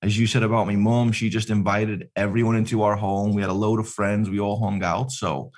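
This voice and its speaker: A man's voice: lowish voice, a little fast. A man in his forties from Liverpool, with a mixed Liverpool and American accent, chatting on a podcast video call from his kitchen, gesturing a lot. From Liverpool, England. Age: 40s. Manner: animated, gesturing with both hands. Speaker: podcast guest. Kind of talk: casual chat. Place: home kitchen, indoors. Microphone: video call with studio mic in frame.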